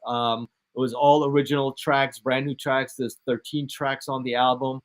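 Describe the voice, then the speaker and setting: A man from the United States, a DJ and producer, talking casually in an interview, relaxed and rambling with many fillers and restarts. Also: medium pitch, normal speed. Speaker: guest DJ and producer.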